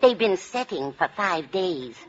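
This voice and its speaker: A woman's voice: lowish voice, very animated, measured pace. Fussy landlady, motherly and dotty, sing-song delivery, old radio sitcom, muffled noisy sound. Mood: cheerful. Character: scatterbrained, motherly landlady with odd recipes. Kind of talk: 1940s radio comedy acting. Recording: dull, noisy vintage radio recording.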